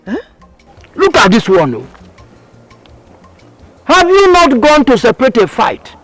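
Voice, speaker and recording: A high, lively voice of an older chief, agitated and scolding, speaking slowly. Faint music sits underneath. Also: male voice, heavily acted. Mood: lecturing. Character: older village chief, anxious and evasive.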